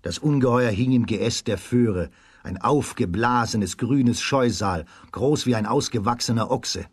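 Husky male storyteller, dry studio sound. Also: medium pitch, unhurried, no room sound. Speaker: narrator. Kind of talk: scripted dramatic storytelling for children.

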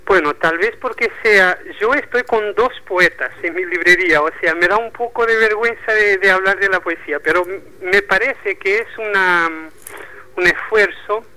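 A Portuguese man speaking Spanish as a radio guest, thoughtful and halting, often repeating words as he searches for them. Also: high-pitched, bright, forceful tone, low-quality recording. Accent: Portuguese speaking Spanish, with a touch of Argentine Spanish. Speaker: poetry bookshop owner, guest. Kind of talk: radio interview.

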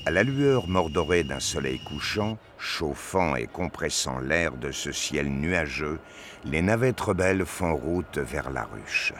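A man's voice: rumbling low, expressive, slow pace, a bit bright, a little hiss or hum. A man reading scripted narration for a science fiction audio drama.

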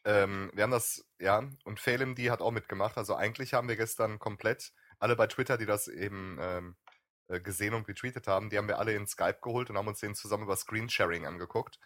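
A man's voice: lowish voice, quick pace, fairly bright tone, no echo, clean studio sound. A man co-hosting a casual streamed podcast, teasing and needling his co-host.

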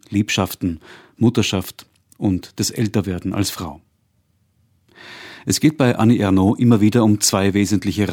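A man giving a measured, factual scripted introduction to a radio play as presenter. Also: on the low side, dark tone.

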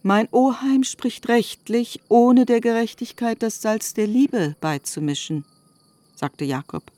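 A woman narrating literary prose in a measured, formal manner, as in a scripted radio production. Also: fairly high pitch, dark tone, dry recording.

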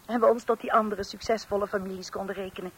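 A woman's voice: medium pitch, fairly even intonation, fast, natural voice, poor audio. An actress in a scripted radio comedy playing a long-suffering, sceptical housewife and mother with a wry, theatrical manner. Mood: wry resignation. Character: exasperated, sceptical middle-aged housewife and mother. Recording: hissy old radio play recording.

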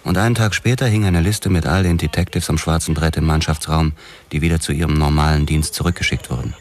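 Very deep-voiced brooding noir detective. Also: male voice, steady tone, quick pace. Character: brooding, obsessed male police detective telling his own story. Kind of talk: scripted noir first-person narration.

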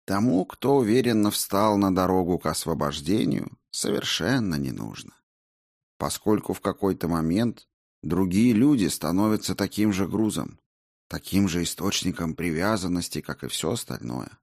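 A man's voice: deep voice, animated, normal speed, gravelly, darkish tone, no room sound, studio quality, full character voice. A man voicing a stern, scornful Buddhist monk teacher in a storybook, speaking in a blunt, didactic way. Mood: instructive.

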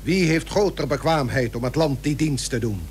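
An actor playing a grave, persuasive seventeenth-century shipowner and statesman in a historical radio play, speaking measured, formal archaic Dutch. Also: a little high, expressive, a little mellow, close to an everyday voice. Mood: urging. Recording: old radio recording with hum and hiss.

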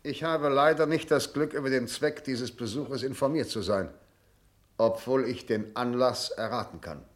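A man acting a stiff, middle-aged gentleman in a radio play. His voice is mid-pitched, his manner formal and icily courteous. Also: normal speed, natural voice. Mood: cold. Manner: clipped, formal, icy courtesy. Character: cold, stiff, formal gentleman, father of a youth.

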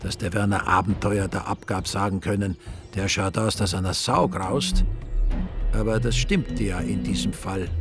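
An actor playing a wry, dryly comic storyteller with an Austrian accent, narrating a radio drama in a deadpan manner. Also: male voice, low voice, moderate pace, music in the background.

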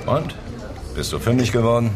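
An actor playing a dry, unimpressed newspaper crime reporter in a German radio drama, understated and probing. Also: male voice, mid-range voice, very animated, normal speed, music in the background, natural voice. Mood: brisk, curious.

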